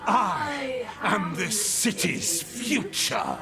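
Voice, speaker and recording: A man's voice: sits high, big pitch swings, very slow, heavily acted. A man playing a fanatical, menacing blood mage who declaims grandly through a possessed body, in a fantasy game. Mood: fanatical, grandiose. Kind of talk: theatrical fantasy video game acting. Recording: loud music behind the voice.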